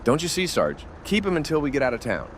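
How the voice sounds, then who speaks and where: A man's voice: on the high side, huge pitch swings, quick pace, slight background noise. Man acting crude soldier, wildly swinging intonation. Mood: scheming, defiant. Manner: sneering, swearing. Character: crude, reckless, insubordinate soldier. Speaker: voice actor. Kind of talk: audio comic dub, dramatic dialogue.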